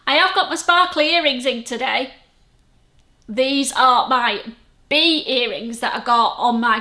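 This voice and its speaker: A woman's voice: on the high side, pushed and bright. A middle-aged woman with a Lancashire accent, chatting to camera in a friendly, rambling, self-deprecating way about her sewing vlog. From Lancashire, England. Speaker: sewing vlogger. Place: at home. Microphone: camera-mounted microphone. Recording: echoey room, camera mic.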